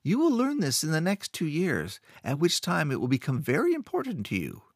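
A cold, scheming rune master , as a man puts him on, in a storybook reading, with a raised voice, a controlled smoothness and a threatening edge, recorded dry and close. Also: a little high, huge pitch swings, fairly quick, no echo, voice pushed up, exaggerated voice. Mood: cold commanding.

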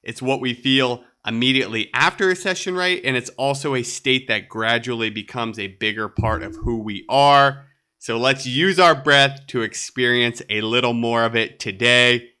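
A man's voice: mid-range voice, normal speed, pushed and bright, clear, resonant tone. A man hosting a solo podcast, sounding nasal and congested, upbeat and chatty with light laughs. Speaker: podcast host.

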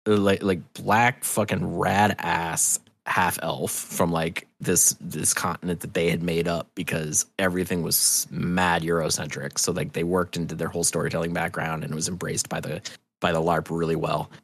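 A man with a low voice in a dry, close-sounding space, talking as an interview guest. He rambles in a casual, animated storytelling style, swears freely and pokes fun at himself. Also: moderate pace, creaky, no echo. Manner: animated, profane, rambling, self-deprecating. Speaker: interview guest, festival promoter.

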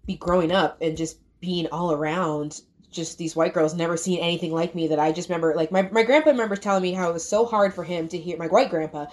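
A woman's voice: lowish voice, fast. A woman from Albany, New York, hosting a podcast in casual conversation, rambling with lots of "like" fillers. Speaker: podcast host.

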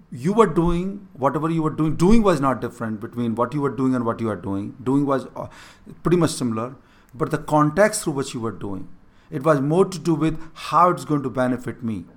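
A man's voice: on the high side, fairly bright tone. A man with an Indian accent, Hindi his first language, earnestly coaching a younger person on leadership and repeating his key points. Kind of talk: mentoring conversation.

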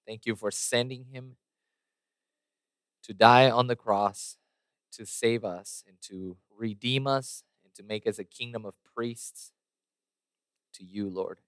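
A man's voice: medium pitch, slow pace, pauses often, fairly bright tone, dry recording. A man preaching a sermon and praying, losing his voice a little, with many fillers and restarts. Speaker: pastor. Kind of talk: sermon with prayer.

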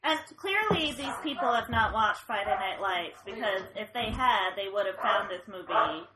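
A young woman chatting casually about a movie, making wry, deadpan jokes. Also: medium pitch, moderate pace, background noise. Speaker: co-host of a movie chat. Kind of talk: casual chat. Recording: echoey room.

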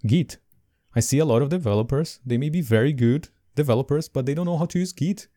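A man with English as a second language, co-hosting a podcast in casual chat, animated and laughing between points. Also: mid-range voice, fast. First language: not English. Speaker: podcast co-host.

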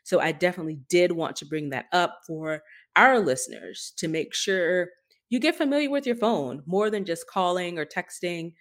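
A woman's voice: fairly low pitch, expressive, normal speed. A woman co-hosting a podcast, talking through tech news in an earnest, explanatory and conversational way. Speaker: podcast co-host.

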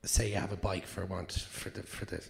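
Irish guy, low raspy hoarse voice, rambly and candid, swears a bit. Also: little pitch movement, normal speed. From Ireland. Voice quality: naturally hoarse voice. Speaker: actor guest. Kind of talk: live Q&A answers. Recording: long hall reverb.

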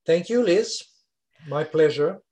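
A man's voice: on the high side, lively intonation, slow pace, dark tone. Older Swiss French-accented man, explaining. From Switzerland. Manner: explanatory, frequent "uh" fillers. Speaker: research scientist guest. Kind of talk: conversational interview.

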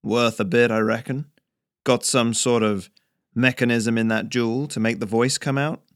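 A man's voice: mid-range voice, steady tone, normal speed, no echo, clean studio sound, close to an everyday voice. A man voicing a pushy, contemptuous frontier trader with an in a story. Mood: appraising. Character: Thaddeus, brash spitting frontier trader with a weapon.

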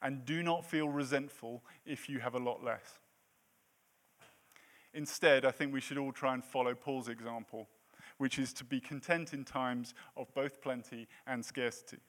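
A man's voice: medium pitch, steady tone, normal speed, fairly bright tone. A man in his late thirties preaching a sermon in a church, with a self-deprecating, jokey manner between points. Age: 30s. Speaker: pastor.

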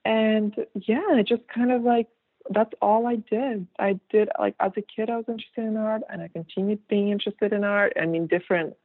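Gravelly woman on a tinny line. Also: medium pitch, steady tone, moderate pace, no room sound. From Kazakhstan. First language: Russian. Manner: rambling, frequent fillers and restarts, laughs easily. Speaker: guest artist. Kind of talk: casual interview talk.

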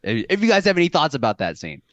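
A man hosting a casual podcast chat, talking haltingly with many fillers and restarts. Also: a little high, huge pitch swings, a bit bright, no echo, dull audio. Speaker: podcast host.

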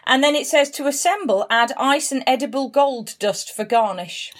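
A woman co-hosting a podcast in casual chat, telling things in an animated, emphatic way. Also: high voice, normal speed. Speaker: podcast co-host.

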